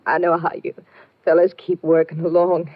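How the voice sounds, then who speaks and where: An actress playing a sheriff's subdued, grieving, homely widow with an American accent in a 1950s radio drama. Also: female voice, fairly low pitch, level tone, normal speed, no echo, natural voice. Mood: grieving, wistful. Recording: thin phone-like old radio recording, dry.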